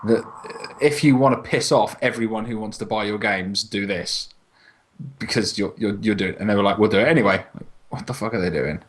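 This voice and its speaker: A man's voice: fairly low pitch, brisk, low-quality recording. Sweary man on a casual podcast. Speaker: podcast co-host. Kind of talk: casual group podcast chat. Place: at home, online voice call.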